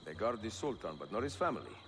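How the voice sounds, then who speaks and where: An actor playing a seasoned, composed Italian fighter in a video game, terse and dry, with an Italian accent. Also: male voice, lowish voice, sing-song, quick pace, fairly bright tone, slight background noise. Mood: composed, wry. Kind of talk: video game cutscene acting. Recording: dialogue over faint background music.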